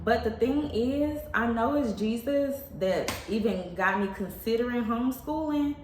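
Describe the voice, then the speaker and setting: Casual mom vlogger, y'all-style chat, roomy room, faint hum behind. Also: female voice, on the high side, lively intonation, measured pace. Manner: chatty and confiding, addresses viewers as y'all. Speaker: stay-at-home mom vlogger. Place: her home, indoors. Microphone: phone camera. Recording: roomy home with faint ambience.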